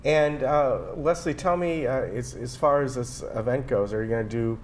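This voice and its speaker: A man's voice: mid-range voice, moderate pace, slight background noise. A middle-aged man interviews guests casually as a TV host in a studio. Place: small indoor TV studio.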